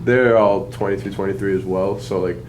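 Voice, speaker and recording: Brief: young low-voiced man, rambling and hesitant, faint background noise. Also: fairly even intonation, a little fast, darkish tone, rough recording. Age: 20s. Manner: hesitant, many fillers. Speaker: workshop attendee asking a question. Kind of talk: rambling audience question.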